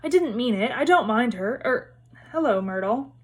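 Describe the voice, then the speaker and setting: A woman's voice: on the high side, animated, moderate pace. A woman voicing an anxious schoolgirl in a storybook, placating, with nervous forced cheer. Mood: frantic.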